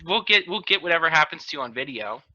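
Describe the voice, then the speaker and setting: A man's voice: on the high side, animated, quick pace, bright, forceful tone. A man hosting a podcast in casual, teasing comedic banter over a dull-sounding video call. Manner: teasing, joking. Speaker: podcast host.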